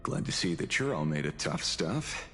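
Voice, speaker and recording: A wry, worldly detective with a low voice that swings freely in pitch. He is a game character, a retired ranger who speaks with theatrical, slow confidence over soft music. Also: very animated, creaky, full character voice. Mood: wry, casual. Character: sly retired ranger turned detective, middle-aged man. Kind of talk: dramatic video game voice acting.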